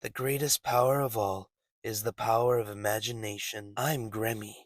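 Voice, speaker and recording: A man's voice: very high-pitched, expressive, measured pace, gravelly, slightly rough, no echo, heavily acted. A man playing an arrogant young male villain in an anime dub, eerie and intense in his menace. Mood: quiet, smug.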